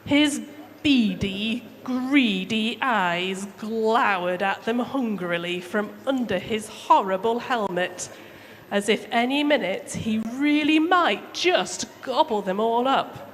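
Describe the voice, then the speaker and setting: Female live children's storyteller, highish voice, theatrical and emphatic, church hall reverb with noticeable noise. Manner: theatrical, emphatic, deliberate phrasing. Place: large room, live church service. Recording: echoey hall, clear background noise.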